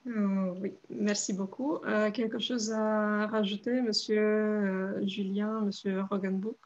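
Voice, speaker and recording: A woman moderating an online panel, polite and brisk. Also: mid-range voice, level tone, muffled recording.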